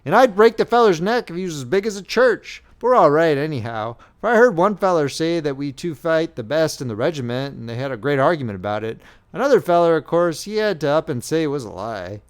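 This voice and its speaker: A man's voice: fairly high pitch, sing-song, a little fast, smooth. A man doing an aggrieved young soldier. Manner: aggrieved, rustic drawl. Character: indignant young male Union soldier, the friend.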